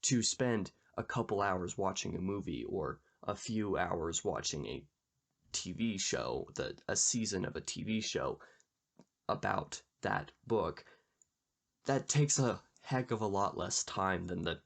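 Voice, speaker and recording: A man hosting a book channel, talking casually and explaining in a measured, conversational way with a slightly gravelly voice. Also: sits low, animated. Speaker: YouTube book channel host. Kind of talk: casual book discussion to camera.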